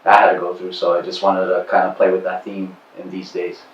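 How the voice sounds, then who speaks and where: A man's voice: low-pitched, monotone, fairly quick. A man talking about his film as its writer and director, hesitant with many fillers. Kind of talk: casual interview. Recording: roomy, distant, dull sound.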